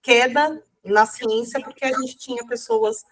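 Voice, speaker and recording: A woman's voice: sits high, very animated, a little slow, fairly bright tone, low-quality recording. A woman with a cold, speaking casually as an interview guest, rambling with many fillers and self-corrections. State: has a cold.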